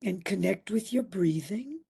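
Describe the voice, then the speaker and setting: A woman leads a guided meditation in a soft-edged, breathy voice. Her delivery is very slow and soothing, with long pauses between short phrases, and her tone is dark and mellow. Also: medium pitch, expressive. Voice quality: breathy, at times near a whisper. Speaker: meditation instructor.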